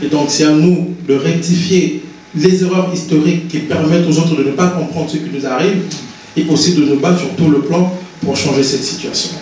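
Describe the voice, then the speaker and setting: A man's voice: a little high, steady tone, normal speed, darkish tone, a little hiss or hum. Earnest man speaking in an echoey hall. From Congo. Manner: earnest, repeats and restarts words. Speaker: invited speaker, author. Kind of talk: impassioned public talk. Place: conference hall. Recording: echoey hall, distant mic.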